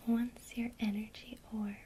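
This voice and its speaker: A woman whispering softly and calmly through a guided ASMR lesson on casting a spell. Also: on the high side. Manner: soft unvoiced whisper, slow and calm. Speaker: ASMR creator teaching witchcraft.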